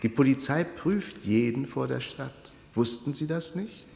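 Echoing hall, quarrelsome pedantic male doctor, gravelly creak, emphatic, accusing, radio drama. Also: medium pitch, animated, a little slow, darkish tone. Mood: reproachful.

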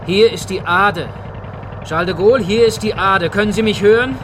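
A man playing a practical, urgent survivor with a brisk, matter-of-fact manner in a German radio drama. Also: high-pitched, background noise, close to an everyday voice. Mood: focused, doubtful.

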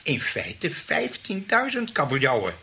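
An actor playing a scheming, money-hungry young nephew in a Dutch radio play, by turns wheedling and snappish. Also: male voice, a little high, huge pitch swings, measured pace, creaky, bright, forceful tone, exaggerated voice.

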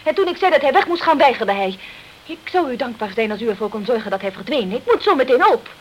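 An actress playing a defensive, offended nightclub singer in a radio crime drama, haughty and evasive in manner. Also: female voice, a little high, big pitch swings, fast talker. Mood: cool, offended. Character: indignant, defensive female nightclub singer hiding the truth. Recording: noisy old radio play recording.

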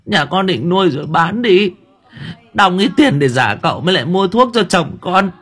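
A man's voice: high voice, lively intonation, normal speed, fairly bright tone, slightly rough, pitched above the performer's own voice, full character voice. A man voicing a poor elderly peasant woman who stammers and begs tearfully. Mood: wailing.

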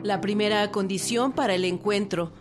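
A woman's voice: mid-range voice, normal speed, loud music behind the voice. A woman reading scripted historical narration for a radio drama.

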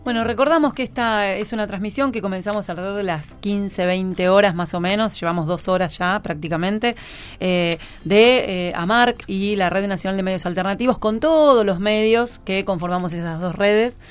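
A woman co-hosts a live radio broadcast in a casual, informal way. Her voice is smooth and clear at a middle pitch, with faint music in the background. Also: normal speed. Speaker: radio co-host.

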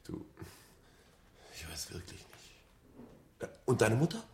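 An actor in a radio drama playing an excitable but hesitant colleague, a reluctant accomplice with a colloquial, exclaiming way of talking. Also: male voice, lowish voice, slow, slight background noise, exaggerated voice. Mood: reluctant, uneasy. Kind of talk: German radio drama acting. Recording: roomy echo.

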